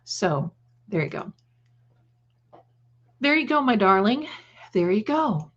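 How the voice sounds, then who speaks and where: A woman's voice: medium pitch, very animated, takes it slowly, fairly bright tone, breathy edge, muffled recording. A woman hosting a casual live chat, rambling and repeating phrases, with affectionate endearments for her viewers. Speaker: livestream host and creator.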